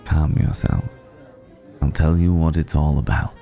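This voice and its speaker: An ageless, indulgent vampire gentleman, acted by a man, speaks in a very deep, unhurried, mellow voice. He is courtly and teasing, lingering over his words in an intimate ASMR roleplay. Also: level tone, vocal fry, faint music behind, exaggerated voice. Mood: playful, celebratory. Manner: leisurely, courtly, teasing, with hesitant pauses and hums.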